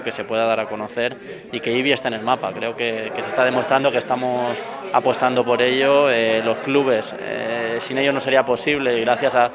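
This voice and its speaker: A man with an accent from Spain giving an unscripted press interview as a local official, in halting phrases. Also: mid-range voice. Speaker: town council representative.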